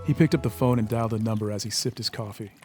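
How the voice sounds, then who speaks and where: A man's voice: fairly low pitch, steady tone, fast, over music. A man reading scripted story narration as the narrator of an audio drama.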